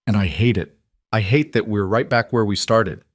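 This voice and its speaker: A man's voice: lowish voice, moderate pace, no room sound, clean studio sound, lightly acted. A man voicing a weary, wary and defiant pregnant wife, guarded and pleading, in audiobook dialogue. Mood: resentful.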